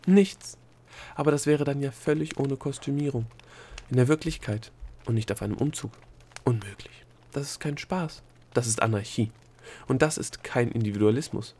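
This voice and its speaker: A haughty female creature of bureaucracy from a storybook, put on by a male reader. She is prim and formal, picking out her words in a measured way. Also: mid-range voice, warm tone, a little hiss or hum, voice pushed up, natural voice. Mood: dismissive. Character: cool, prim, bureaucratic female thought-creature, secretly coquettish. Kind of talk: storybook character dialogue.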